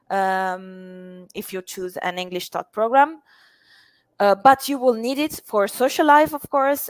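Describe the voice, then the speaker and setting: A woman's voice: mid-range voice, no echo. A woman whose first language is Italian speaks as a university representative in an interview, hesitating often with fillers. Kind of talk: interview answers.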